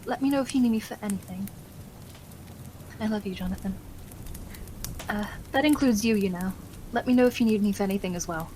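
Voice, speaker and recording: A caring, genteel wife acted by a woman talks at a medium pitch. She is gentle, affectionate and politely old-fashioned, as in an ASMR roleplay. There is faint noise behind her. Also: a little fast, long pauses, close to an everyday voice, low-quality recording. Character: loving, caring young wife, sweet and genteel.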